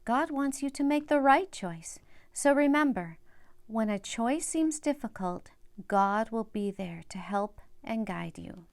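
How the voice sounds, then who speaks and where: A woman's voice: fairly high pitch, a little mellow, smooth. An older woman reads a children's Bible story aloud, speaking directly and simply to young listeners.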